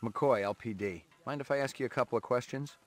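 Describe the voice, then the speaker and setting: A man's voice: medium pitch, brisk, close to an everyday voice. A man voicing a terse police detective in a video game, asking blunt matter-of-fact questions.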